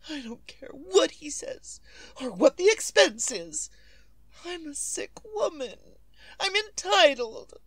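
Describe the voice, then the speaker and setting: Frantic invalid wife, high swooping voice. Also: female voice, big pitch swings, slow pace, no room sound, full character voice. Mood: distraught, panicked. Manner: melodramatic, fretful, demanding. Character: frantic, demanding invalid wife, nervous and imperious. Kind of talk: 1940s radio suspense acting.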